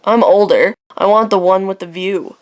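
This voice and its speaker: A woman's voice: medium pitch, fairly even intonation, normal speed, lightly acted. Woman as a snide, sarcastic teenage big sister. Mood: snide, scornful. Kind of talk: radio drama acting. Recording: music under the voice.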